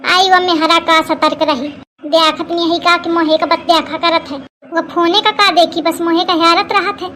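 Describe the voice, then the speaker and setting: A woman's voice: very high voice, fairly even intonation, moderate pace, bright, forceful tone, a little hiss or hum. A woman voicing a plump middle-aged village mother-in-law in an Awadhi cartoon comedy, scolding, gossipy and suspicious, with an Awadhi accent. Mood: exasperated, grumbling.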